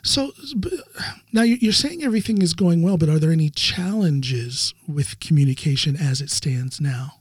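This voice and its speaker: Man, podcast host, slightly high husky voice, dry close sound, stammering encouraging questions. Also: animated, moderate pace, no room sound. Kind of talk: podcast interview conversation.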